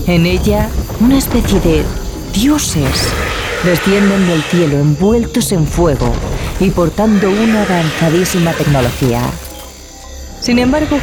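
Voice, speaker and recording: A woman presenter reading a scripted, dramatic introduction to a horror story. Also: lowish voice. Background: music.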